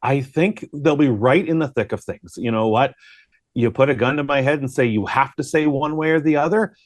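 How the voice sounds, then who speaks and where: A man's voice: mid-range voice, normal speed, no echo, studio quality. A man giving conversational hockey analysis as a guest broadcaster, often posing questions to himself. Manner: thinks aloud with rhetorical questions.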